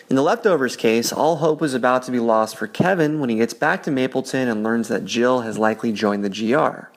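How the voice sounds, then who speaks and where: A man leaves a voicemail for the hosts, reading his prediction in a measured, steady way. His delivery sounds prepared and methodical. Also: medium pitch. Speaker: listener leaving a voicemail. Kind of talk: read-out voicemail.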